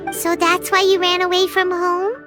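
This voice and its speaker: A woman voicing a small, chirpy, non-human female companion in a video game, speaking in a squeaky, excitable way over game music. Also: normal speed. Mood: curious.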